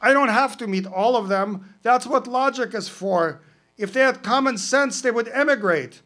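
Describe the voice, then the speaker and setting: A man's voice: very high-pitched, normal speed, sharp tone, pitched above the performer's own voice. A man reading a poem aloud, voicing a fussy, anxious male parrot in prim, indignant tones. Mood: smug logic. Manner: worried, indignant, prim speech. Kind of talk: poetry reading, acted dialogue.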